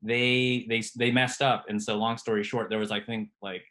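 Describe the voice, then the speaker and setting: A man guest on a podcast, a radiologist, telling his story in a relaxed way, hedging often. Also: fairly low pitch, moderate pace. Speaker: guest, radiologist and private lender. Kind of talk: casual podcast interview.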